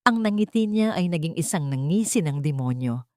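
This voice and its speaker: A woman reads dramatic scripted fiction as the narrator. Her voice is slightly low. The sound is very dry, without room reflections. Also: lively intonation, fairly quick, darkish tone, no echo. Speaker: story narrator.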